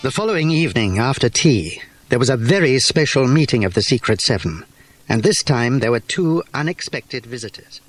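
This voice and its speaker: A man's voice: mid-range voice, sing-song, moderate pace, a little hiss or hum. A man narrating a children's story from a script in a dramatised audiobook. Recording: music behind the voice. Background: instrumental music.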